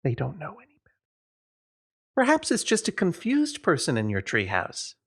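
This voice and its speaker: A man's voice: fairly high pitch, wildly swooping pitch, normal speed, husky, pitched above the performer's own voice, heavily acted. A man voicing a patient, condescending storybook mother, prim and lilting as she explains things reasonably.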